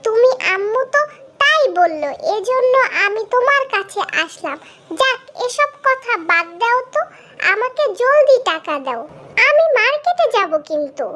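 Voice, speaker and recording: A woman's voice: extremely high pitch, big pitch swings, unhurried, pushed and bright, heavily acted. A woman with a Bangladeshi accent voicing a cheeky young girl in a comic cartoon, insistent and whining as she pleads. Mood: demanding. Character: pushy, cheeky young girl begging for Eid shopping money.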